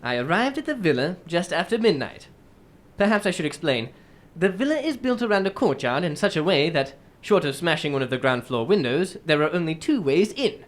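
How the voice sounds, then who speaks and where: Woman doing composed detective guy in a radio play, formal storytelling, lowish voice that moves around a lot. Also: sing-song, a little fast. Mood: candid, matter-of-fact. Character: shrewd, composed male English detective recounting his case. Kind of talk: radio drama acting.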